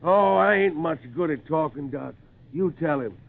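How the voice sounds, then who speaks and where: Rustic hill farmer type, slightly high voice, humble and folksy, narrow-band 1940s radio drama recording. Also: male voice, moderate pace, gravelly. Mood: wry, reticent. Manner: folksy, rustic backwoods dialect. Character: plain-spoken, humble hill-country landholder, folksy and stubborn.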